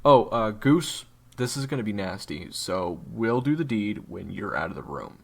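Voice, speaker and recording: Male actor as cocky young swordsman, crude, theatrical, gravelly, very animated, audio roleplay. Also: fairly low pitch, normal speed. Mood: breezy, businesslike. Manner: slangy, sarcastic, theatrical.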